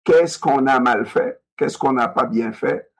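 A man in a discussion, talking in an animated way, repeating himself and asking rhetorical questions. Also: medium pitch, takes it slowly, husky.

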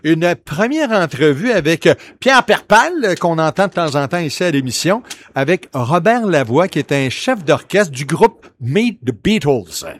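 A man's voice: a little high, animated, normal speed. A man with a Quebec French accent hosting a radio show in casual, playful, chatty banter.

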